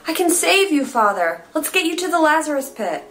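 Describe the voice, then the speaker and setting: A woman's voice: sits high, very animated, fairly quick, fairly bright tone. A woman playing a villain's devoted, anguished daughter, emotional and earnest in a dramatic screenplay read. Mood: desperate pleading.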